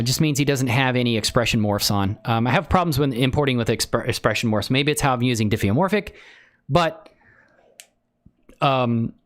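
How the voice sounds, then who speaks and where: Male tutorial streamer, medium pitch, informal and exclamatory, explaining steps live. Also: a bit bright. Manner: exclamatory, with woos and interjections. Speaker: livestream tutorial host. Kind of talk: casual live software tutorial with chat asides.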